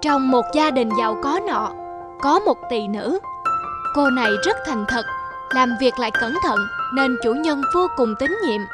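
A woman voicing a calm, conciliatory male god in a cartoon, soothing and moralising as a storyteller. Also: sits high, unhurried, over loud music.

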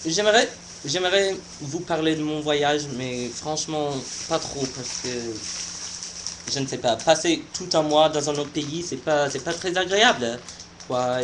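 Halting young man, slightly high voice. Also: background noise. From United States. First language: not French. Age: young adult. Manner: halting, searching for words, shy. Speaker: vlogger. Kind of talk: casual vlog monologue.

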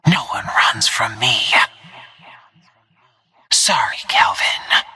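Breathy, husky cold female assassin. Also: very deep voice, animated, drawn-out words, pushed and bright, no room sound, heavily acted. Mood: quiet menace. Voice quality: husky, breathy, near-whisper. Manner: terse, cool, menacing. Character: cold, stealthy female assassin hunting her targets. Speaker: video game character. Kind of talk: video game combat voice lines.

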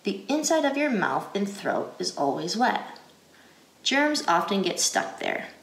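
An American woman reads aloud for children in a guided, teacherly style. Her pitch moves up and down in a lively way while she keeps a slow, deliberate pace. The recording has a roomy indoor echo. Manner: deliberate, clearly phrased, asks questions. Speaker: educator reading a picture book aloud.

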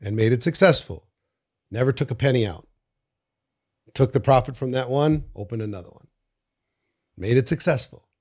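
A man's voice: medium pitch, animated, moderate pace, long pauses. Rambling older male podcast host. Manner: rambling, repeats words, trails off quietly. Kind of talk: casual interview chat. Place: studio with bookshelves. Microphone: studio mic on boom arm.

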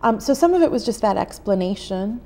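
An American woman in her thirties, a journalist answering interview questions in a TV studio, thoughtful with frequent pauses, on a lapel mic. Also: mid-range voice, animated, dark tone. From United States. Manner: thoughtful, many "um" pauses, gestures while talking. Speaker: journalist guest. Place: TV studio set.

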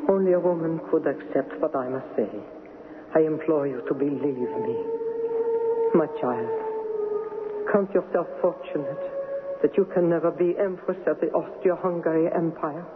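An actress playing a weary older empress in a radio drama, solemn, formal and pleading. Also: female voice, sits low, normal speed, natural voice. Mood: sorrowful, imploring. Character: weary, sorrowful older empress, regal mother. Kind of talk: 1970s radio drama acting. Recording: muffled, noisy 1970s radio with long reverb.

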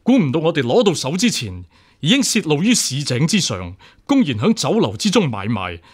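A stubbornly upright deputy examiner in a Cantonese radio drama. His Hong Kong-accented voice sits a little high and moves with lively intonation. He is formal, earnest and refuses to yield. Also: moderate pace, husky. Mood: suspicious, firm.

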